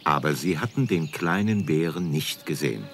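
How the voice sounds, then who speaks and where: A man's voice: a bass voice, expressive, moderate pace, a bit bright, a little hiss or hum. A man narrating a scripted children's story as storyteller.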